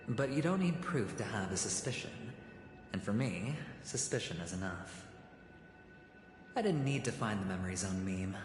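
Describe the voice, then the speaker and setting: A man playing a cunning, self-assured gambler in a video game, speaking with wry, theatrical deliberation. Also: fairly low pitch. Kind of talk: dramatic video game dialogue. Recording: echoey hall reverb, background noise.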